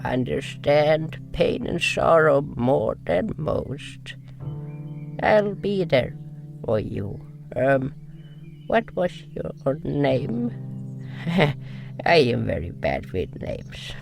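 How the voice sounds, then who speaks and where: A man playing a gentle, wise nonhuman healer in a fantasy audio drama, speaking halting broken English with dropped articles. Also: on the high side, sing-song, vocal fry, soft background music, heavily acted. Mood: gently consoling. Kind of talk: first-person fantasy audio drama narration and dialogue.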